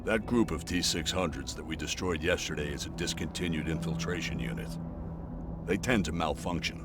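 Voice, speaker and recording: Game role: brooding resistance fighter, very deep, matter-of-fact, few words, music underneath. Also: male voice, normal speed. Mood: guarded. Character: brooding, gruff male resistance veteran, stoic and terse. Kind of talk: video game acting.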